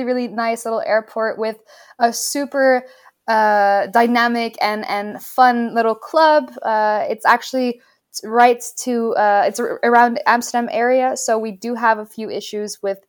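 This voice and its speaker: A woman's voice: a little high, moderate pace. A young woman from Tanzania, a pilot guest answering interview questions enthusiastically with many fillers and restarts. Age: young adult.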